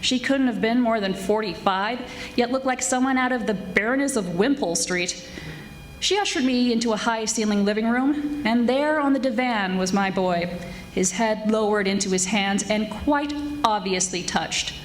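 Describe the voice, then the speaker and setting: A woman playing a brash, wisecracking young private detective in a 1940s-style radio drama, sassy and quick-witted. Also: on the high side, a little hiss or hum, lightly acted, rough recording. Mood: wry narrating. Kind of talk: 1940s radio detective acting, dialogue and narration. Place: live stage performance of a radio play.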